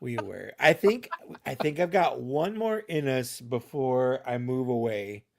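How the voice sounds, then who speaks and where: Man, guest, jokey, animated, mid-pitched. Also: unhurried, gravelly, slight background noise. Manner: joking, laughs easily. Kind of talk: casual live chat.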